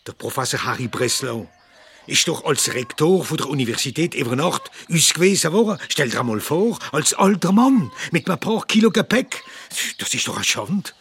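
An actor playing an earnest Alsatian doctor and humanist in a radio play, speaking colloquial dialect with an Alsatian accent and searching pauses. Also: male voice, fairly high pitch, a bit bright, slightly rough, heavily acted. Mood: indignant. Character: warm humanist Alsatian doctor and philosopher, argumentative, fond of schnapps.

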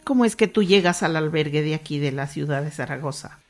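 A woman's voice: deep voice, a little fast, music in the background. A woman hosting an informal radio interview, putting questions to her guest. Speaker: radio show host, interviewer.

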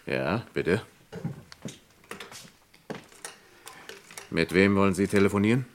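An actor playing a polite but unyielding police inspector in a vintage radio crime drama, formal and probing. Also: male voice, deep voice, animated, fairly quick, a little hiss or hum. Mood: suspicious, firm. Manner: formal, probing, coolly courteous.

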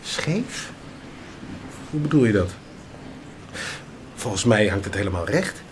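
Patient father amid clear background noise. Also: fairly low pitch, sing-song, slow. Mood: mildly puzzled. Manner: patient, explanatory. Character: patient father explaining a painting to his son. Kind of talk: radio drama acting.

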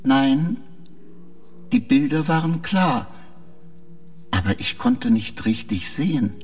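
An actor playing a troubled, literal-minded male spaceship intelligence in a radio drama, speaking in terse, halting phrases. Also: on the high side, wildly swooping pitch, a little mellow, soft background music, exaggerated voice. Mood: strained, halting.

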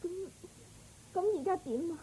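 Lady doing an anxious motherly queen for radio drama, high voice, very mellow. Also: animated, normal speed, rough recording. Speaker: voice actor.

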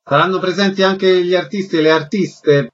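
A man's voice: high-pitched, fairly even intonation, moderate pace, highs cut off. A man hosting a radio interview, putting concise questions and introductions to guests.

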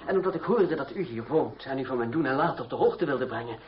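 A man acting in a radio drama as a proud, composed young gentleman, polite, formal and self-assured. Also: mid-range voice, expressive, quick pace, close to an everyday voice. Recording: dull, noisy old radio recording.

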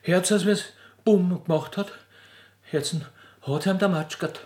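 A man's voice: high-pitched, measured pace, a little mellow, breathy edge, voice pushed up. A man voicing a fat, nosy widow, gossipy and greedy, in whispery broad Austrian dialect in a play reading. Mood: excited whisper.

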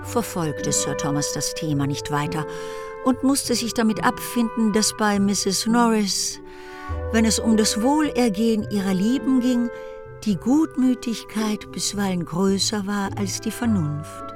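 A woman's voice: mid-range voice, lively intonation, a little slow. A woman narrating a literary radio drama in a scripted storytelling style. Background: music.

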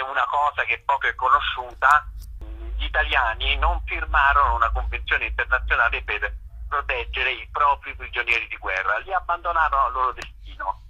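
A man's voice: medium pitch, normal speed, bright, forceful tone, slightly rough. A man interviewed by phone as a guest performer, explaining discursively and searching for his phrases. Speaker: theatre writer-performer being interviewed. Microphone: telephone call to radio. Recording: dull, band-limited phone line.

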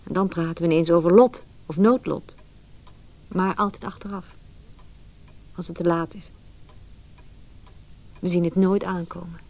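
An actress playing a philosophical narrator, giving solemn, deliberate scripted narration for a radio play. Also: female voice, fairly low pitch, a little mellow. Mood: pensive, ominous. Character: philosophical, ominous female narrator musing on fate.

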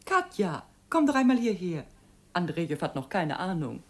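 A woman's voice: lowish voice, moderate pace. An actress playing a genteel middle-aged wife and mother in a German radio drama, anxious and firm, given to admonishing. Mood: bright, domestic. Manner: expressive, admonishing.

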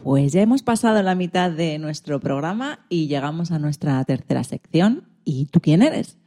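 A woman's voice: low-pitched, animated, normal speed, mellow. A woman hosting a radio program, introducing a section from a script.

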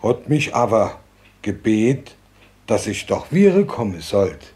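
An older man playing a war veteran who haltingly recalls his youth, searching for words. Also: fairly low pitch, big pitch swings, dark tone. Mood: reminiscing, halting. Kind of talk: halting spoken recollection.

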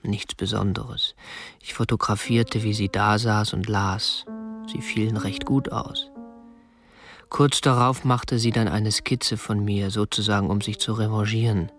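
A man's voice: on the low side, fairly even intonation, unhurried, a bit bright, soft background music. An actor playing a prim, unremarkable man in his twenties, a captor narrating matter-of-factly in a radio drama. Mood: detached recounting. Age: 20s. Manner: matter-of-fact, stiffly proper. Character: prim, unremarkable 27-year-old male captor, petty-bourgeois butterfly collector. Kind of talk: first-person narration and dialogue in a radio drama. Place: dry studio.

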